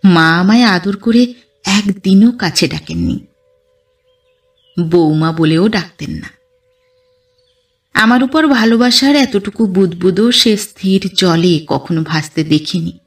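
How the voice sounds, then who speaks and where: A woman narrates a literary tale at a slow, unhurried pace. Her delivery is measured and expressive, in the manner of an audio storybook. She sounds a little away from the microphone. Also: medium pitch, far from the mic. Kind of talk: scripted literary narration in first person.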